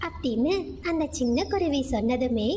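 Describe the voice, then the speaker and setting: A woman narrates a scripted children's story. Her voice is high, and there is faint noise in the background. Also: animated, normal speed, mellow.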